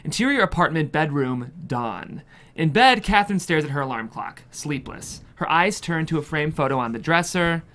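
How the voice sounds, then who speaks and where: Expressive screenplay narrator with lively intonation. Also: medium pitch, normal speed. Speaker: stage-direction narrator. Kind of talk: scripted narration of a screenplay at a table read.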